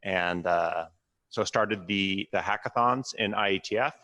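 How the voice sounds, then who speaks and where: A man's voice: on the low side, a little slow, bright, forceful tone, no room sound. A man introducing himself at a conference, upbeat and eager, with a few restarts. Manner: upbeat and enthusiastic, some restarts. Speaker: conference presenter.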